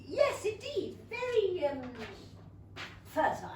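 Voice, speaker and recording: A woman's voice: very high-pitched, sing-song, takes it slowly, darkish tone, heavily acted. An actress playing a flustered, scheming middle-aged Regency mother with an English accent in a comic staged reading. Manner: flustered, giggly, insinuating. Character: gushing, scheming matchmaking Regency mother of five. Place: small theatre stage. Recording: roomy theatre, distant mic, faint noise.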